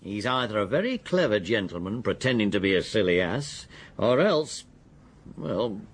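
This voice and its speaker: English police detective, radio drama, bit rough and husky, factual and respectful, lively intonation. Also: male voice, fairly low pitch. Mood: confident, deferential. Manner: deliberate, factual, deferential. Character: methodical, deferential male police detective, confident and matter-of-fact. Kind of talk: British radio drama acting.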